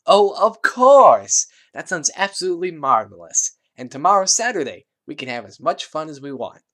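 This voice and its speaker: An elegant, chatty teenage girl, acted by a man in a story reading. The pitch is lifted, and the delivery is genteel, fussy and theatrical. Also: big pitch swings, moderate pace, fairly bright tone. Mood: gushing.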